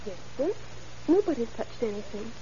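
An actress playing a shaken, frightened crime victim in an old-time radio drama. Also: female voice, fairly high pitch, lively intonation, normal speed, mellow, close to an everyday voice. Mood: shaken, hesitant. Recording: hissy, dull old radio recording.

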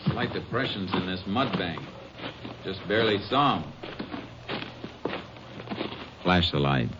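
Deep-voiced, steady Texas Ranger. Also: male voice, normal speed. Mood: quiet, intent. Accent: American. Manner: terse, matter-of-fact, deductive. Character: steady, shrewd male Texas Ranger investigator. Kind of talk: 1950s western radio drama acting. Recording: muffled, noisy old-time radio recording.

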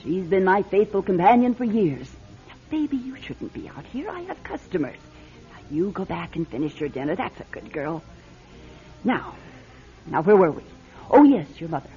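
Casting: tired, shabby older female fortune-teller for radio drama, wheedling and hesitant, very animated pitch, muffled old recording. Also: normal speed, soft background music. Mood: coaxing. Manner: theatrical, hesitant, wheedling, calls people "my dear". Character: weary, shabby, aging female fortune-teller fond of her cat. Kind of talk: classic radio drama acting.

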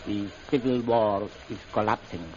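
An actor playing a guarded, courteous renegade general in an old radio serial, speaking in a formal, terse way. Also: male voice, lowish voice, steady tone, moderate pace, mellow, close to an everyday voice. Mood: grave. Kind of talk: old-time radio adventure serial acting. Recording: muffled old radio recording, faint noise.

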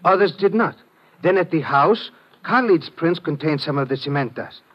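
High-ish voiced, formal police lieutenant man. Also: animated. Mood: intent, puzzled. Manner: formal, measured, precise wording without contractions. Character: polite, methodical male police lieutenant from the Middle East. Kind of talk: old-time radio detective drama acting. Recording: dull, noisy vintage radio recording.

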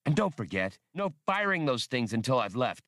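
A man's voice: medium pitch, very animated, moderate pace, fairly bright tone, pitched above the performer's own voice. A man reading an audiobook plays a brusque, hot-tempered smuggler pilot with a curt, slangy, snappish delivery. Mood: curt, impatient. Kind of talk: audiobook character voice.

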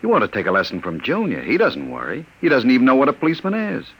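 An actor playing a cold, mocking gang boss in a classic radio crime drama, commanding and full of menace. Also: male voice, medium pitch, wildly swooping pitch, quick pace, highs cut off, slight background noise. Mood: mocking contempt. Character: cold, sardonic male gang boss, cruelly taunting.

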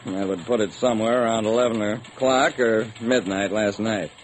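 A man's voice: lowish voice, fairly even intonation, moderate pace, lightly acted. An actor playing a hard-boiled private detective, narrating his case with dramatic, wry deliberation in old-time radio style. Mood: brisk, businesslike. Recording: noisy old radio recording.

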